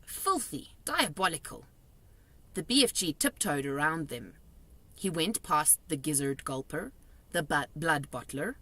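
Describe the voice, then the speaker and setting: Bright, lively woman reading a storybook. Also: sits low. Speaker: storybook reader. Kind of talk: expressive children's story narration, read aloud.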